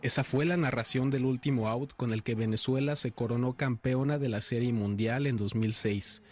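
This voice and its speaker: A man narrating a news feature in a measured, even way. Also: mid-range voice, faint music behind. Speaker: reporter.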